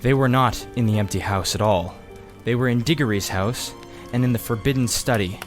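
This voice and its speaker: A man reading a children's story aloud as expressive scripted narration. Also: medium pitch, a little fast, faint music behind. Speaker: audiobook reader.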